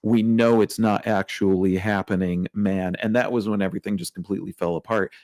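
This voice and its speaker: A man's voice: fairly low pitch, steady tone, a little fast, darkish tone, dry recording. A man co-hosting a casual podcast, telling a story in a chatty, wry way, full of fillers. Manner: chatty, lots of like and you know, wry. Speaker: podcast co-host.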